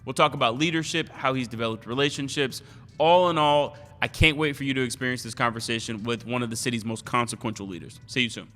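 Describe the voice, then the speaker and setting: A man hosting an interview podcast, upbeat and quick to laugh, sometimes stumbling as he frames his questions. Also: mid-range voice, bright, forceful tone. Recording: roomy reverb.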